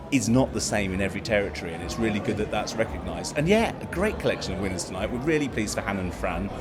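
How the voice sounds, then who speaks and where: A man, a theatre producer, talking in an interview with warm enthusiasm. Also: on the low side, noisy background. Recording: loud crowd around. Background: crowd chatter.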